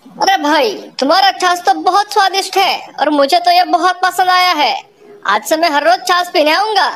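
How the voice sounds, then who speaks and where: A woman's voice: very high voice, normal speed, fairly bright tone. A woman voicing an enthusiastic, friendly male street customer in a gushing way for a cartoon moral story. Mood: delighted. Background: music.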